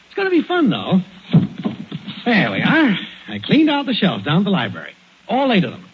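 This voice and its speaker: An actor playing an excitable, bumbling next-door neighbor with an American accent, folksy and blustering, in 1940s radio comedy. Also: male voice, high-pitched, normal speed. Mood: eager, breezy. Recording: muffled, hissy 1940s radio recording.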